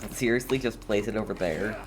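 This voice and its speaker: A game streamer giving casual, slangy gameplay commentary, muttering in a halting way. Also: medium pitch.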